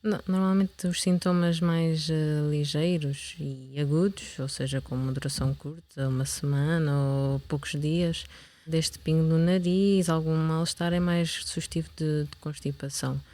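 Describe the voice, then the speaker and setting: Low, even-voiced Portuguese woman doctor. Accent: European Portuguese. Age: young adult. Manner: measured, with hesitations and repeated words. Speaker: doctor guest. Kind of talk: explanatory interview answers.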